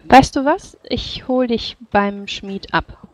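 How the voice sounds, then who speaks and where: A woman playing a tabletop game, improvising in character in a gentle, coaxing, hesitant way. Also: a little high, takes it slowly, no room sound. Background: faint music.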